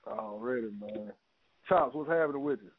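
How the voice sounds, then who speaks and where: A man's voice: medium pitch, normal speed, no echo. An American man hosting an internet radio show, chatting casually in slang and often repeating his phrases. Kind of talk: casual chat. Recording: tinny phone-line internet radio.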